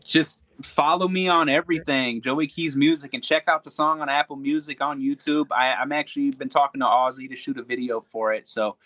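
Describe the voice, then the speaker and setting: Male guest, mid-pitched, dry studio sound, loose candid talker. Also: fairly even intonation, brisk, hardly any pauses, bright, forceful tone, dry recording. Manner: candid, rambling with restarts and fillers. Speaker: podcast guest, hip-hop artist. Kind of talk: casual interview chat.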